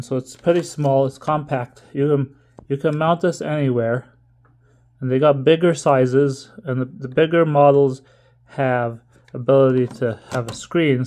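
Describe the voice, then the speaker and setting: A man's voice: medium pitch, darkish tone. Casual man giving a hands-on tech walkthrough. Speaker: tech video presenter.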